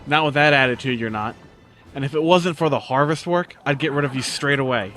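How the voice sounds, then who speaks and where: A man playing a gruff, impatient farm overseer in an audio drama, curt and grumbling. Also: mid-range voice, brisk, fairly bright tone, faint music behind, close to an everyday voice. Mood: bossy. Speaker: voice actor.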